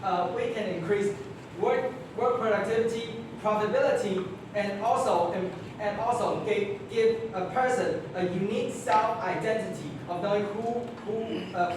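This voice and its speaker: A man answering as a student debater, repeating words as he builds each sentence. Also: high-pitched. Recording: very echoey room, distant mic, background noise.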